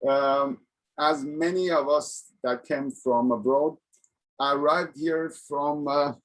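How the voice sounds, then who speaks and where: A middle-aged man from Sweden, an engineer giving an online colloquium presentation over a video call, speaking in a halting, earnest way with many pauses. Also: on the high side, steady tone, a bit bright, low-quality recording.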